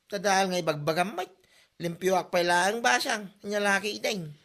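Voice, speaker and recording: A woman's voice: medium pitch, normal speed, a bit bright, natural voice. A woman with an Ilocano accent playing a boastful, daydreaming husband in a comedy drama.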